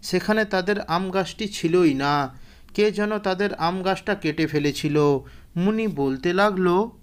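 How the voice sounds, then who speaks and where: A man's voice: sits high, a little slow, clear, resonant tone. A man narrating a scripted cartoon fairy tale as the storyteller.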